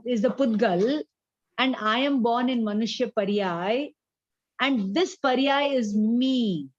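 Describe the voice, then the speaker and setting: A woman with an Indian accent giving a spiritual class, explaining deliberately and checking that listeners follow. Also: a little high, no room sound, muffled recording. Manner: didactic, deliberate, checks understanding with questions. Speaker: religious teacher.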